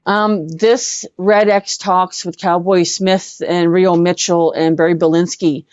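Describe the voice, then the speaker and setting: A middle-aged woman with a western Canadian accent, telling personal stories as an interview guest in an earnest, halting way, repeating words for emphasis. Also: on the low side, fairly even intonation, darkish tone, no echo, highs cut off. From Edmonton, Canada. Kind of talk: personal storytelling in a live online interview.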